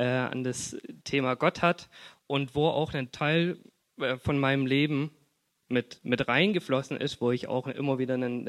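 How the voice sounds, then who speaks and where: A young man with a Swabian accent preaching in a church hall, talking in a relaxed, chatty way with many fillers and restarts. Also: medium pitch. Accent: Swabian German. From Swabia, Germany. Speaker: guest preacher. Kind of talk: casual sermon with personal stories.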